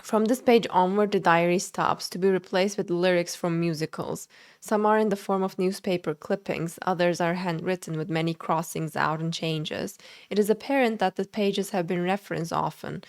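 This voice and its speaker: A woman's voice: lowish voice, steady tone, normal speed. A woman livestreaming a video game, commenting and reading the in-game text aloud. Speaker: game streamer.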